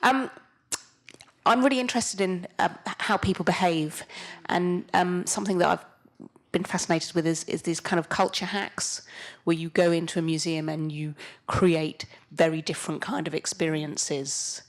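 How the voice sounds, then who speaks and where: A woman's voice: fairly low pitch, fairly even intonation, normal speed. A woman in an audience putting a spontaneous question, somewhat hesitantly. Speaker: audience questioner, museum staff member.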